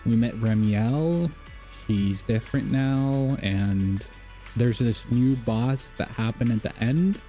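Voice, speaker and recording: A man's voice: mid-range voice, slow, mellow, soft background music. A man live streaming a video game, chatting casually with viewers and thinking aloud in a rambling, repetitive way.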